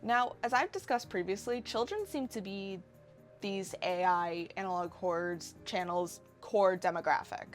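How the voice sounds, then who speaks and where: A woman's voice: mid-range voice, normal speed, faint music behind. A woman in her twenties narrating a casual scripted video essay commentary, wry and given to sarcastic asides. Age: 20s.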